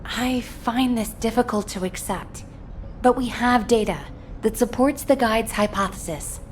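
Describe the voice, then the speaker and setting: A woman's voice: fairly high pitch, unhurried, a little hiss or hum, natural voice, rough recording. A woman playing a businesslike, composed headquarters officer in a sci-fi game, giving a professional mission debrief. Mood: businesslike, puzzled.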